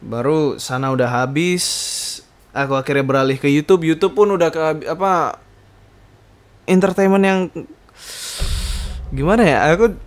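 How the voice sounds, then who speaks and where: A man in his twenties with a medium-pitched voice talks alone as a podcast host. His delivery is informal and rambling. He often hesitates to find the right word and mixes in slang and bits of English. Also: a little mellow, clean tone. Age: 20s. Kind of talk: casual rambling solo podcast monologue.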